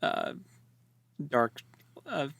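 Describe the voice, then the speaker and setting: A man's voice: extremely slow, a bit bright, no echo. A man co-hosting an informal book discussion, giving wry, conversational commentary. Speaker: co-host of a book discussion show. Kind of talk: casual chat, book discussion.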